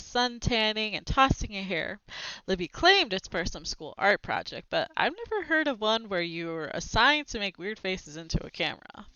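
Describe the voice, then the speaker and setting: A woman reading a children's book aloud expressively, in an animated manner, with brief asides as she shows the pictures. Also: medium pitch, dry recording, muffled recording. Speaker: read-aloud storyteller.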